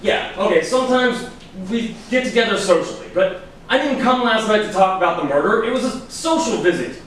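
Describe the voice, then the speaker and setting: A man's voice: high voice, lively intonation, brisk, a bit bright. A man playing a protective, protesting police detective in a staged reading of a crime drama. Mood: defensive. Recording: distant, very echoey room, faint noise.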